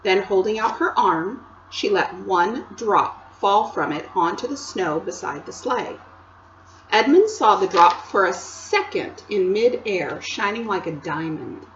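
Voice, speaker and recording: Slow, expressive woman reading aloud. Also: medium pitch, dull audio, a little hiss or hum. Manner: expressive storytelling with chatty asides to listeners. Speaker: teacher reading to children. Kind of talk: reading a chapter book aloud.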